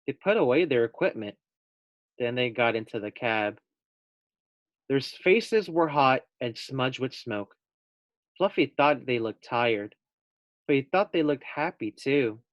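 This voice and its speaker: Young teacher, male, reads to kids, gentle and steady. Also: medium pitch, no echo, dull audio. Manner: gentle, unhurried, with friendly asides. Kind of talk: reading a picture book aloud to first graders.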